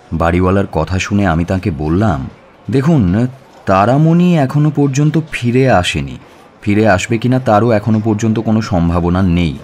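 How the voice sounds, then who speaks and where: An actor playing an authoritative police inspector who narrates an audio story in a measured, deliberate way. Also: male voice, fairly low pitch, a little mellow, slight background noise, lightly acted. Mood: firm, official. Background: music.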